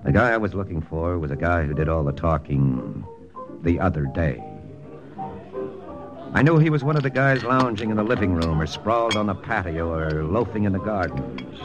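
A man's voice: low-pitched, expressive, normal speed. An actor playing a wry army lieutenant who narrates his own story in an old radio drama. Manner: wry, dry, confiding narration. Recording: old radio broadcast with music.

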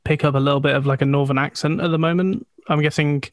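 A man's voice: on the high side, fairly even intonation, quick pace. A man hosting his own podcast, introducing the episode and asking questions in a loose, friendly way with fillers. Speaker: podcast host. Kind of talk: casual podcast intro and interview.

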